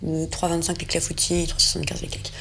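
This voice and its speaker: A woman's voice: fairly low pitch, completely flat delivery, fast talker, vocal fry, a bit bright, a little hiss or hum. A young woman co-hosting a food segment, chatting casually and enthusiastically while tasting, with many hesitations. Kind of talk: casual tasting chat on a show set.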